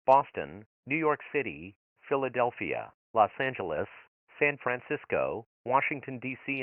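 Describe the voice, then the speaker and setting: A man playing a government emergency announcer, reading alert instructions in a flat, formal, measured way. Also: mid-range voice, no room sound, close to an everyday voice. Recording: tinny phone-like dry audio.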